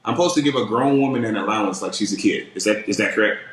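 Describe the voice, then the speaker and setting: A man's voice: fairly low pitch, racing, a bit bright. Give me a young male livestream host arguing defensively, recorded in a roomy space. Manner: defensive, repeats himself. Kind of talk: heated live debate. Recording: roomy echo.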